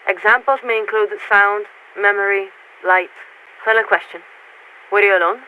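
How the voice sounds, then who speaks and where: An actress playing a formal, detached warship officer who speaks for a committee in clipped, measured phrases through a radio filter in a video game. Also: female voice, mid-range voice, fairly even intonation. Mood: grave, commanding. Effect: radio transmission filter. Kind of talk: scripted video game voice acting. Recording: tinny, narrow-band radio with static.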